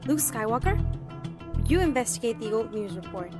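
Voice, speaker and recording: Casting: actress as idealistic young heroine, high-pitched, earnest with a playful nerdy streak, over clear music. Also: female voice, sing-song, normal speed. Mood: playful, bossy. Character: eager, idealistic, nerdy young woman, loyal to her cousin. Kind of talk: fan audio drama acting.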